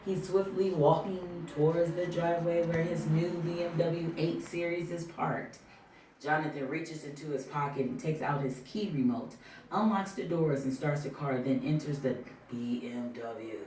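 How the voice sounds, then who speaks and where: A reader works through screenplay directions in a slightly halting way. The voice is mid-pitched and picked up from a distance in an echoey room, with faint noise behind it. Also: fairly even intonation, darkish tone. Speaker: table read participant reading stage directions. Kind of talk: reading screenplay directions aloud. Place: indoor room at a table reading.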